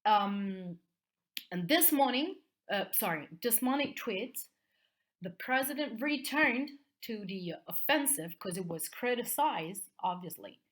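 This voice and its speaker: A woman's voice: medium pitch, lively intonation, slow, fairly bright tone. A woman with an Italian accent teaching in an explanatory video lesson, often checking in with short tags at the end of phrases. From Italy.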